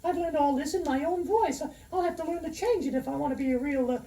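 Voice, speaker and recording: A man voicing a wisecracking boy ventriloquist's dummy in a high falsetto with cheeky comebacks, on a scripted instructional record. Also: quick pace, mellow, recorded from a distance.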